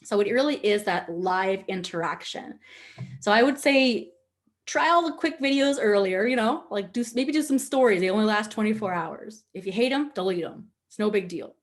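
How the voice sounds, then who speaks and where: A woman with a Nova Scotian Canadian accent teaching an informal online class in an animated, candid, jokey and chatty way. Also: mid-range voice, moderate pace. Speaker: social media instructor. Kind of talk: informal webinar teaching with casual asides.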